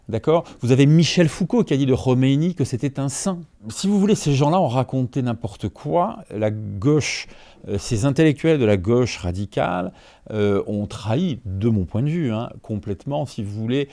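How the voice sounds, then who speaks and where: Man in his 50s, mellow warm voice, keeps saying "en fait" and pushing his point hard in an interview. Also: medium pitch. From Franco-Iranian. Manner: emphatic, peppered with "en fait" and rhetorical checks. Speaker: journalist guest. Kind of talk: interview answers, argumentative.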